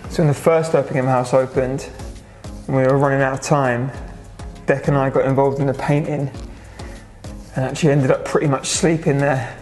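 Flat-voiced young man giving a vlog update. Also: mid-range voice, normal speed, faint music behind. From England. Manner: casual, matter-of-fact, lots of "so" and "obviously". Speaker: restaurant owner. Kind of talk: vlog update on a restaurant renovation. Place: restaurant under renovation, indoors.

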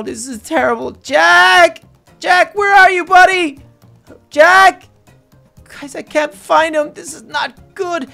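A voice actor playing an excitable, anxious young superhero friend, stammering and exclaiming through an improvised adventure story. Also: high-pitched, vocal fry. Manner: stammering, exclamatory, talks to viewers as guys.

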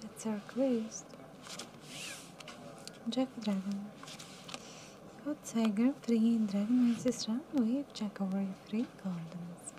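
A young woman dealing cards at a live casino table, calling results in a soft, mumbly voice that sinks toward a whisper. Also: a little high, slow pace, long pauses, background noise. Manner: soft, mumbly, drops to near whisper at round ends. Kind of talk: calling card game rounds.

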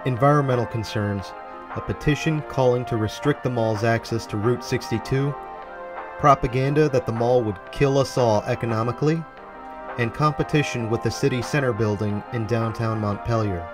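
A man's voice: medium pitch, normal speed. A man delivering scripted, documentary-style narration for a walkthrough video. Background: background music.

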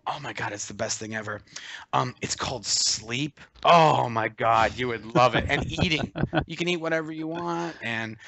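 A man in his forties on a video call, casually telling a story in an animated way and acting out what others said. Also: fairly high pitch, no echo. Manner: animated, acts out quoted voices, laughs as he tells it. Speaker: interview guest.

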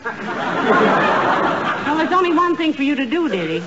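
A patient, wry wife in an old radio comedy, her voice set very high as she calmly talks her husband down. The broadcast sound is muffled and lo-fi. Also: slow pace, over a noisy crowd, heavy background noise, exaggerated voice. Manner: calm, teasing, scolding gently. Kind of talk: 1940s radio comedy acting.

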